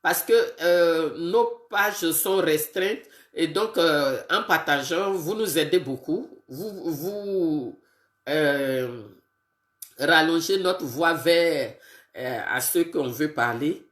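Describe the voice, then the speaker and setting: A woman who has a bad cold, greeting her viewers one by one on a casual live video and talking in a halting way with many fillers. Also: low-pitched, echoey room. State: congested with a cold, sniffly and tired. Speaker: political live streamer.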